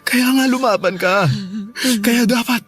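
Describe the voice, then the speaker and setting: Distraught, stammering Filipino husband. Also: male voice, very high voice, measured pace, a bit bright, soft background music, full character voice. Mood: tearful, resolute. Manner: emotional, stammering, repeats himself. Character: distraught, devoted husband and father, pleading. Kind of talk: radio drama acting.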